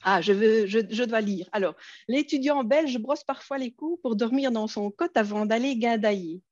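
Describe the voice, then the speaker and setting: A woman's voice: a little high, normal speed, a little mellow, no room sound, dull audio. A woman with a Belgian French accent presenting an online workshop, friendly, with many hesitations and fillers.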